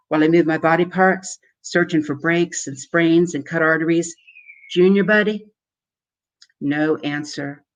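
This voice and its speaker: A man giving a measured, dramatic live reading of a first-person horror story. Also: a little high, rough recording. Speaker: author or performer reading.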